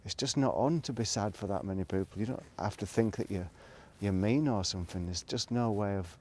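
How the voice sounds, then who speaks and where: Middle aged guy, lowish voice, just rambling and thinking out loud, kinda hesitant. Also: fairly quick. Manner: hesitant, thinking aloud, trailing off. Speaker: solo video blogger. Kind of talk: rambling, reflective casual monologue.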